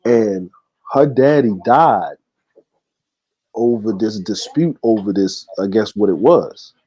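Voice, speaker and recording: A man's voice: lowish voice, animated, slow pace, mellow, slightly rough. A man telling a family story in casual livestream chat, rambling with many fillers and restarts.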